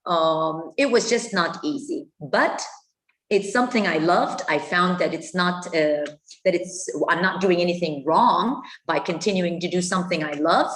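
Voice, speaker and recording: A woman's voice: lowish voice, unhurried. A woman from Egypt in her fifties, earnestly telling her own life story with many fillers and restarts. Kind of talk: personal story talk.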